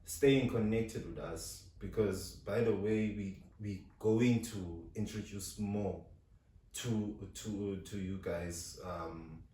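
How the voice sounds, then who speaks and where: A man's voice: fairly low pitch, fairly even intonation, takes it slowly, warm tone, very echoey, recorded from a distance, low-quality recording. A man from South Africa co-hosting a podcast in casual chat, who stutters and restarts his words. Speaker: podcast co-host. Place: recording studio.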